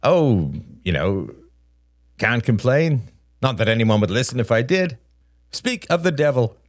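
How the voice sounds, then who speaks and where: A man's voice: medium pitch, big pitch swings, measured pace, no room sound, clean studio sound, voice pushed up. A man voicing an easygoing, chummy father in an audiobook story, with a jovial, wry, coaxing way of talking.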